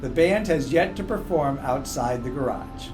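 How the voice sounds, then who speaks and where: A man reading a children's book aloud in a measured, expressive way. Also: medium pitch. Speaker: story reader. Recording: music playing behind. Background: background music.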